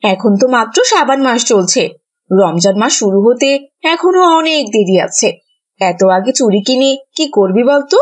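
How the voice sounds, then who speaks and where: Cartoon mother, female performer, raspy and somewhat high, fed up and nagging. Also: expressive, normal speed. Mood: exasperated. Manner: scolding, exasperated. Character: exasperated, scolding village mother. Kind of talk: cartoon voice acting.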